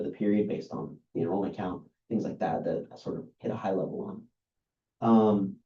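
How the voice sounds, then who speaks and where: A man, an outside accountant, reading a list of services aloud at a meeting in a dull, monotone way, with many ums and uhs. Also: fairly low pitch. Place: meeting room. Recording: roomy, dull lo-fi meeting recording.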